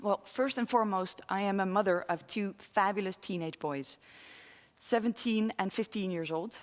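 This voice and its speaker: A woman in her forties from London and the Netherlands giving a talk to an online audience, pausing often as she speaks. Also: medium pitch. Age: 40s. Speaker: guest speaker. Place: studio with very few people present. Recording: reverberant studio hall.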